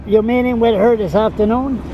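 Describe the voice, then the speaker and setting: A man interviewing someone on a city sidewalk, asking blunt, gruff questions. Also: high-pitched, steady tone, fairly quick, background noise. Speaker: street interviewer filming on a phone. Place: city sidewalk outdoors in winter.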